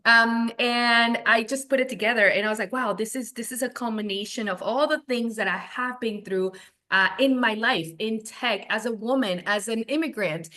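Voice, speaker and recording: A woman from Venezuela in her forties, a tech founder who gives lively interview answers and acts out her own past thoughts. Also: a little high, normal speed.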